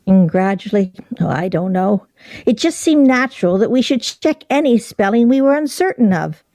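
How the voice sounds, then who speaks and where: Actress as a mellow, composed, dry woman. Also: mid-range voice, lively intonation, moderate pace, dry recording, natural voice. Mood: defensive. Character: composed, dry, reasonable woman among friends. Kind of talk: radio play acting.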